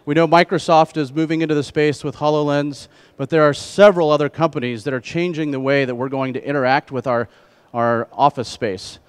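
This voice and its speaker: A middle-aged man presenting a conference keynote on stage through a headset mic, speaking in measured phrases. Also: on the high side, steady tone, clean tone. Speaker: keynote speaker, trade association president.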